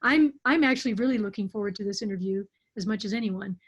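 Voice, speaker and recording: A woman's voice: mid-range voice, fast talker, husky. A woman hosting an online interview, reading an introduction in a friendly way with frequent fillers, over muffled audio. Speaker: interview host.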